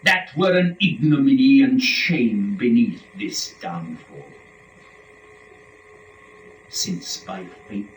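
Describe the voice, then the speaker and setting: A man playing a proud, defiant fallen angel who leads hell, declaiming epic verse in a grand dramatic reading. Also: medium pitch. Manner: declamatory, grand, swelling from hushed to shouted. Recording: roomy, distant mic, faint noise.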